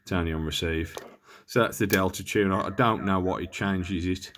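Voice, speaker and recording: A man's voice: deep voice, steady tone, normal speed, a bit bright, slight background noise. A man giving a casual, unscripted hands-on demonstration, thinking aloud in a hesitant, tentative way. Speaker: presenter of a radio equipment demonstration.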